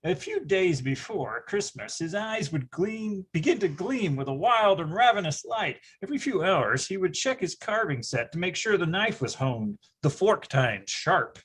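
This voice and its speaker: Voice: mid-range voice, big pitch swings, normal speed, hardly any pauses, fairly bright tone, husky. A performer playing a wry storyteller recalling boyhood, narrating in a grandiose, melodramatic comic manner. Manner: grandiose, dramatic wording.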